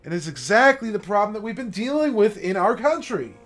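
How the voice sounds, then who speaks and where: A man with a somewhat raised pitch delivers a passionate, emphatic monologue on a late-night stream. He often stops to check in with a pointed "okay?". Soft music plays faintly in the background. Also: very animated, brisk. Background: faint classical music.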